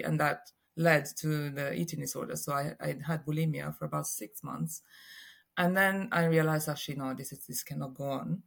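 Italian woman, forties, gravelly voice, hesitant fillers. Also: deep voice. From Italy. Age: 40s. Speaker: guest psychologist. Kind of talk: casual interview.

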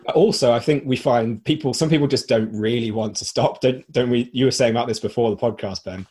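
A man's voice: medium pitch, brisk, a little mellow, husky. A man co-hosting a podcast, talking casually and explaining ideas through everyday analogies while losing his voice. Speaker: podcast co-host.

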